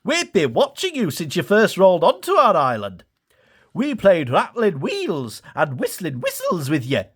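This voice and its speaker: A man's voice: sits high, wildly swooping pitch, a little slow, breathy edge, pitched above the performer's own voice, heavily acted. A man voicing a playful, cheeky male logging locomotive in a children's storybook, with a teasing, complaining manner.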